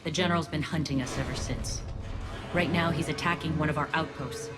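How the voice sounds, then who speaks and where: A woman's voice: sits low, fairly even intonation, brisk, natural voice. A woman voicing a principled, guarded alien gang leader in a video game, speaking with firm command. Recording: loud music under the voice.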